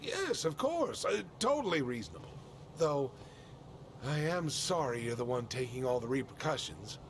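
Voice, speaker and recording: A man playing a fussy, awkward, prying acquaintance in a video game, halting and overly solicitous. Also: mid-range voice, very animated, slight background noise.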